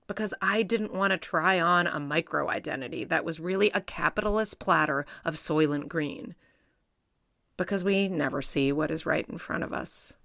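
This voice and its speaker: Woman, middle-aged, gravelly tone, dry close mic, measured reflective reading. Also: on the low side, fairly bright tone, no echo. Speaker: essay reader, psychologist. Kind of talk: reading her own personal essay aloud.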